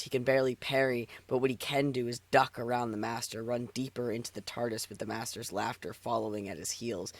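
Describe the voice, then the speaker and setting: A man's voice: mid-range voice, no room sound. Playful man reading aloud, dry close sound. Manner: playful, swears casually. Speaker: streamer reading fan fiction aloud. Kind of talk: casual banter and narrated read-aloud.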